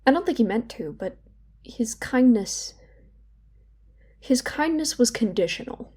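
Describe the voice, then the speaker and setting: Soft-toned, wounded young woman confiding , as acted. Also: on the high side, expressive, husky. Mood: conflicted, reflective. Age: young adult. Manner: hesitant, halting, with repeated words and pauses. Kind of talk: intimate confessional monologue in an audio play.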